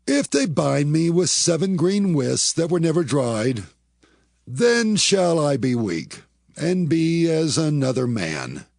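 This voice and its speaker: An actor playing a mighty, grave Israelite strongman in a Bible audio drama, gravelly and husky, speaking slow, archaic lines deliberately. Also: a little high, big pitch swings, darkish tone, dry recording, clean studio sound, exaggerated voice. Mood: guarded, deliberate.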